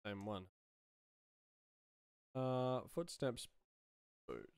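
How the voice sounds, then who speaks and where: A man in his thirties, a sound designer, thinking aloud casually in low-key short fragments as he works in a small studio. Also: sing-song, very slow, gravelly, a little mellow, smooth, no room sound. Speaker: sound designer, tutorial host. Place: small home studio room indoors.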